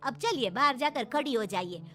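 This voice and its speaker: A woman playing a cheerful, sharp-tongued young daughter-in-law with a bold, teasing manner in a cartoon story. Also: a little high, expressive, moderate pace. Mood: defiant. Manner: bold, sassy, teasing, with tag questions. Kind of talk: animated Hindi moral story acting.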